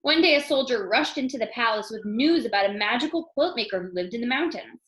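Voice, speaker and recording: A woman reading a children's storybook aloud in an expressive storytelling style. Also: a little high, quick pace, reverberant. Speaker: storybook reader.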